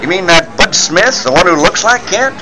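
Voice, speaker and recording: Old radio drama editor, voiced by a man: bossy, skeptical, lively high voice over crowd noise. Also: moderate pace. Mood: reassuring, skeptical. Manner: exclamatory, scolding, authoritative. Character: gruff, bossy, skeptical male newspaper editor. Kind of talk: 1940s radio acting. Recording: clear stadium crowd noise behind.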